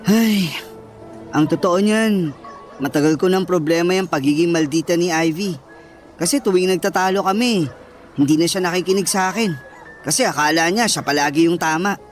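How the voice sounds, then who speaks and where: A man's voice: sits high, moderate pace, lightly acted. Radio drama good guy played by a man: young boyfriend, sincere, protective, firm about what is right, music underneath. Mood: earnest, kind. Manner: earnest, reassuring. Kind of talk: Filipino radio drama acting.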